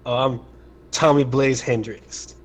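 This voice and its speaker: A man's voice: medium pitch, steady tone, slow pace. A man talking casually in short replies as a player in an online tabletop game. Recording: faint background noise on online call.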